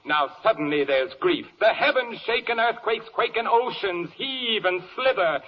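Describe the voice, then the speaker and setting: An actor playing an indignant, haughty prosecutor declaiming in rhyme in a 1940s radio verse comedy. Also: male voice, high voice, lively intonation, a little slow. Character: indignant, scornful prosecutor in a cosmic court. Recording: muffled, noisy old radio broadcast.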